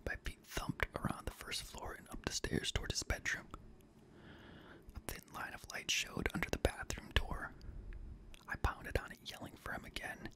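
A young man reading scary stories in a hushed, breathy ASMR whisper, gently, close to a studio microphone. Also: moderate pace, rough recording. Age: young adult. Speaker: host and reader of scary stories. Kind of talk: whispered ASMR horror story narration. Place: small indoor home studio room. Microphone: close boom-arm studio microphone.

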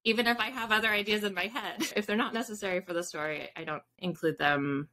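A woman's voice: medium pitch, fast, bright, forceful tone. A woman in her thirties chatting casually as an interview guest on a video call. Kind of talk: casual chat. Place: indoors in a room with bookshelves, on a video call.

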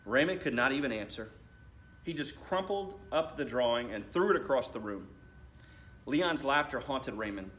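An American man, a school principal in his thirties, reading a storybook aloud to children with deliberate phrasing and addressing them directly. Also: mid-range voice, animated, a bit bright. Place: school library, indoors.

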